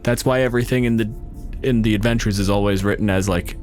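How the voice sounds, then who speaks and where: A man's voice: lowish voice, fairly even intonation, quick pace, darkish tone, soft background music. A man improvising narration as game master, casual and wry, swearing freely.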